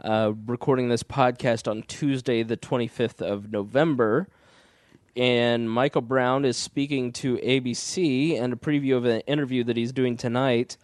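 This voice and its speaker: A man's voice: mid-range voice, fairly even intonation, normal speed, no room sound, studio quality. A young man with a cold and a slightly phlegmy voice, co-hosting a casual podcast with jokes, reading news aloud now and then. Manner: laid-back, joking, reads news items aloud. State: getting over a cold, phlegmy. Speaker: podcast co-host. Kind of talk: casual chat.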